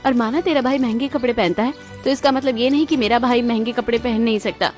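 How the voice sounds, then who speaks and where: A woman voicing a gentle, loyal young sister from a poor family in a cartoon, speaking earnestly and plainly. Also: on the high side, steady tone, quick pace, soft background music, close to an everyday voice. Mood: indignant. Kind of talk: cartoon moral-story dialogue in Hindi.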